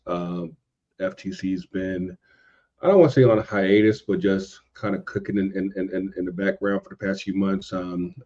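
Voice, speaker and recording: A man chatting casually as a podcast guest, halting and circling back over his phrases. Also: low voice, darkish tone, lo-fi sound. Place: indoors at home in a city.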